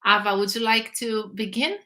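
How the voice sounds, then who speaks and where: A woman's voice: mid-range voice, fairly even intonation, moderate pace, bright, forceful tone. A woman hosting an online workshop over a video call, speaking with formal, enthusiastic welcomes. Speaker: workshop host.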